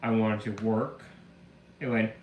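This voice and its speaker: A man's voice: fairly low pitch, fairly even intonation, measured pace, dull audio. A man streaming from his room at home, his voice back after the flu but still carrying a slight cough. He talks casually and rambles, piling on fillers. The room sounds echoey, with a faint hum of ambience behind him. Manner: rambling storyteller, lots of "like" fillers. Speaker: live streamer. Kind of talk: casual live-stream chat.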